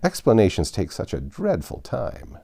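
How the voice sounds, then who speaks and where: A man's voice: sits low, huge pitch swings, moderate pace, vocal fry, mellow, no room sound, heavily acted. A man voicing a brusque, impatient gryphon in a storybook reading, with curt, commanding delivery. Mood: impatient, bossy. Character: brusque, impatient gryphon, bossy old creature. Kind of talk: storybook character voice.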